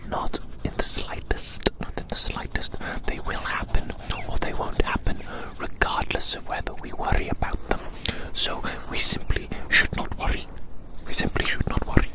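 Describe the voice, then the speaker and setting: Hushed, whispering ASMR roleplayer. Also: poor audio. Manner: slow, repeats phrases, addresses listener as little friend. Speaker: ASMR performer. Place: outdoors.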